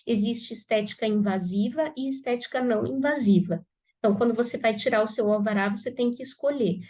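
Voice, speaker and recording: A woman's voice: fairly high pitch, darkish tone. Brazilian woman teaching, nasal with a cold. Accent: Brazilian Portuguese. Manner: conversational, frequent "né?" tags. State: congested with a cold. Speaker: pharmacist teaching aesthetics students. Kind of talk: live online class talk.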